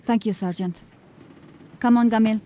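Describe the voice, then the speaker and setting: A woman playing a cocky, smooth-talking female ship captain who is breezy and evasive with the law. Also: on the high side, steady tone, normal speed, close to an everyday voice. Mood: sly. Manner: breezy, evasive, gently mocking.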